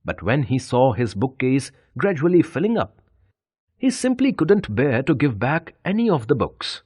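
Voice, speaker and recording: A man reading a scripted children's bedtime story as an expressive, deliberate storyteller. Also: mid-range voice, husky, no room sound, studio quality.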